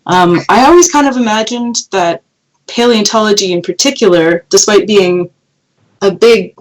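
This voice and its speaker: A woman's voice: mid-range voice, normal speed, rough recording. A young adult woman from Canada, a podcast guest telling her story in a thoughtful, rambling way with frequent "um"s and restarts. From Canada, northern Quebec. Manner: thoughtful, with many fillers and restarts. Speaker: guest paleoartist.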